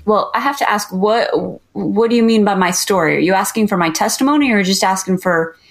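A woman from Southern California, a guest on a podcast, telling about her hometown in a chatty, lively way. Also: mid-range voice, brisk. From Southern California, USA. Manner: chatty and lively, laughs often. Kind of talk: casual podcast conversation.

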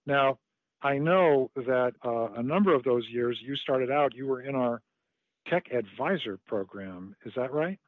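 A man's voice: medium pitch, lively intonation, measured pace, no room sound. A man interviewing a colleague, asking friendly, lightly joking questions in conversational style. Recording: tinny phone-like line.

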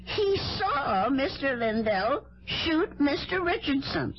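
High-pitched, fretful old lady. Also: very animated, slow pace, full character voice. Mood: insistent, agitated. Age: older. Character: fretful, insistent old lady, devout landlady turned amateur sleuth. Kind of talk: radio drama acting. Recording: dull vintage radio recording, music.

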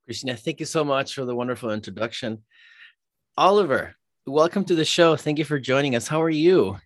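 A man's voice: medium pitch, a little fast, dry recording, clean studio sound. A man hosting a business podcast, welcoming guests in an upbeat way. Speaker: podcast host. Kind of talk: podcast interview.

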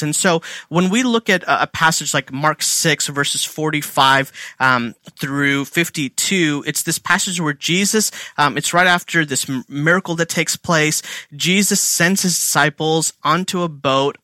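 Bright, conversational man teaching scripture. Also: a little high, no echo. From India. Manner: conversational, filler-heavy, asks and answers his own questions. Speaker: Bible teacher. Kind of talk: casual teaching talk.

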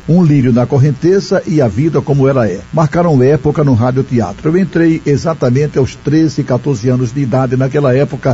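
Male radio veteran, Northeastern Brazilian accent, warm and husky, recalling old days in an archive interview. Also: mid-range voice. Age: 50s. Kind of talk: reminiscing in an interview. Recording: dull 1990s archive interview, faint music.

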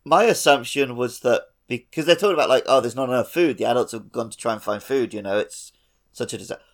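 A man's voice: medium pitch, fast talker. A man co-hosting a podcast, chatting casually about a video game with wry, joking asides. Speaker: podcast co-host.

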